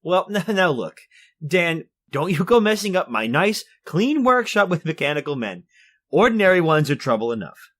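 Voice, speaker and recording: A man's voice: on the high side, very animated, moderate pace, a bit bright. An actor playing a fussy, orderly, mild-mannered man with a prim, hesitant, polite manner in a retro radio drama. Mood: musing, bantering. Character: fussy, orderly, mild-mannered male workshop owner recounting a murder.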